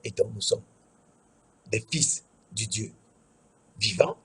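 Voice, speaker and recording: A man with a West African French accent preaching a sermon in an emphatic, repetitive way, with a husky, rough-edged voice. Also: fairly low pitch, animated, slow, rough recording. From Togo. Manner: emphatic, repeats key phrases.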